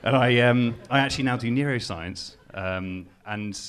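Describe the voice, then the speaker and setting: A man's voice: mid-range voice, moderate pace, fairly bright tone. A young man from Newcastle with a Geordie accent, performing a stand-up comedy set with a casual, self-mocking, hesitant delivery full of ums. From England. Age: young adult. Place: live stage before laughing audience.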